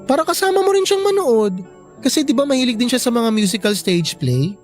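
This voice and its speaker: Woman playing a lively, effeminate young male friend. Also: fairly high pitch, a little fast, soft background music. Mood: chiding. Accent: Filipino. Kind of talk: Filipino radio drama acting.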